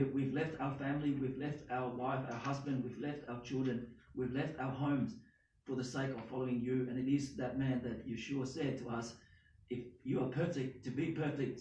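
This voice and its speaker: A hoarse Australian man leading a small congregation, preaching and praying in a halting way with many restarts. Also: mid-range voice, dark tone, dull audio, a little hiss or hum. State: hoarse, losing his voice. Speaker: congregation leader. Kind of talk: sermon and spoken prayer. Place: small indoor synagogue. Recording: echoey room from far off.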